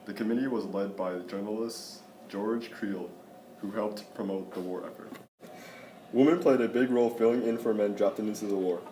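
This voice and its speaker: Low-voiced young man reading a school report. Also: fairly even intonation, normal speed, warm tone. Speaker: student presenter. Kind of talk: reading a school project narration. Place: classroom. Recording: roomy classroom with faint background noise.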